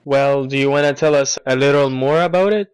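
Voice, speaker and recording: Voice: mid-range voice, a little fast, clear, resonant tone. A radio show host presenting from a script and asking interview questions.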